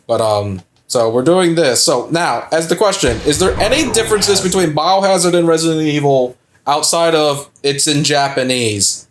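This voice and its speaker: A man's voice: fairly high pitch, moderate pace, few pauses. A young man in his twenties co-hosting a gameplay video, chatting casually with laughs and rambling restarts. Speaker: let's play co-host.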